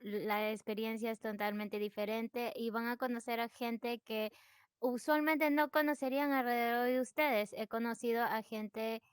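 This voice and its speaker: A woman's voice: on the high side, steady tone, moderate pace, clean tone, no room sound, studio quality. A woman, a podcast guest, talking in a bubbly, eager way and laughing often. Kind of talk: casual chat.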